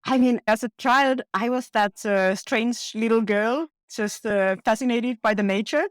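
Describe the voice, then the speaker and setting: A woman's voice: fairly high pitch, unhurried, no echo, clean studio sound. A woman from northern Finland, a guest talking eagerly about her work, laughing often and restarting her phrases. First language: Finnish. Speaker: guest parasitologist. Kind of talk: casual podcast chat.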